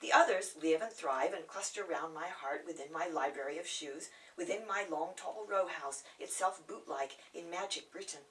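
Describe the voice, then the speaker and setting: A woman's voice: fairly low pitch, moderate pace, few pauses, a bit bright, distant mic, low-quality recording. A woman reciting her own poem in a dramatic, playful way, savouring the words. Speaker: poet reading own work. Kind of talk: theatrical poetry recital.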